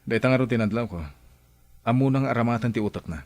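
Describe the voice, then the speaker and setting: Man playing grumbling reluctant friend, mid-pitched, dry close sound, Ilocano accent, radio drama. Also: quick pace, darkish tone, dry recording, close to an everyday voice. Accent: Ilocano Filipino.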